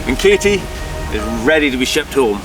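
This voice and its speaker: A man's voice: mid-range voice, big pitch swings, normal speed. Upbeat male presenter, mic at a distance. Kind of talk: casual on-location presenting. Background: clear background music.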